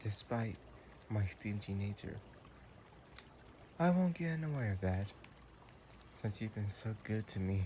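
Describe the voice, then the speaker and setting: A mellow, unhurried vampire boyfriend, acted by a man, speaking at a medium pitch straight to his sweetheart. He is possessive and doting, with a tender, teasing manner suited to intimate audio roleplay. A light wash of background noise sits behind the voice. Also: expressive.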